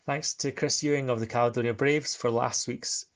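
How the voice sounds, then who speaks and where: A man introducing his podcast episode in a relaxed, casual way. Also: medium pitch, normal speed. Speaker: podcast host.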